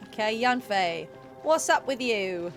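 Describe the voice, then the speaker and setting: A woman's voice: fairly high pitch, expressive, unhurried, soft background music. Chatty giggly woman streaming a game. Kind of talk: casual gameplay commentary.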